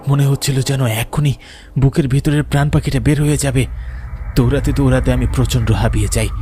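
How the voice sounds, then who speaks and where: A man's voice: medium pitch, dark tone, slight background noise, natural voice. A man playing a frightened villager who narrates his own ghost encounter in a dramatic, suspenseful horror-cartoon style. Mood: panicked. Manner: suspenseful, deliberate. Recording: prominent music behind narration.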